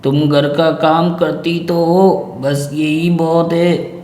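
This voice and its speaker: Man as an echoey, calm bird husband. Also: fairly high pitch, slow. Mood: caring. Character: caring, dutiful male bird husband, calm and reasonable.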